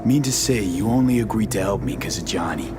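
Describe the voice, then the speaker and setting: An actor playing a weary, tough mercenary in a cyberpunk game, speaking in clipped street talk. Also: male voice, lowish voice, expressive, fairly quick, over music, natural voice. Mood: tense, determined. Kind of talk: video game voice acting.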